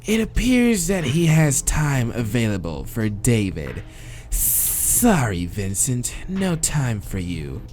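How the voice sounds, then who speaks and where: A man voicing a courteous, grinning male monster assistant in a visual novel, with drawn-out, unhurried speech. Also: medium pitch, wildly swooping pitch, darkish tone, faint music behind. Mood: smooth, oily. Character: polite, grinning, slow-spoken monster assistant named Vec, male.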